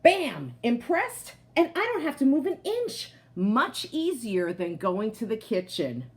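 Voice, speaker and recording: A woman's voice: on the high side, wildly swooping pitch, unhurried, a little mellow. A woman voicing a smug, comfort-loving male potato from a picture book, boastful and exclamatory. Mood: boastful, delighted. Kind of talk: picture-book character narration.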